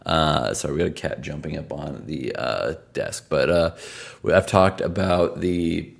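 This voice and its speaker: Hoarse, very deep-voiced tired man. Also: unhurried. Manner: conversational, rattles off stats. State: hoarse, losing his voice; tired from travel. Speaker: sports picks host. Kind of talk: casual sports betting analysis.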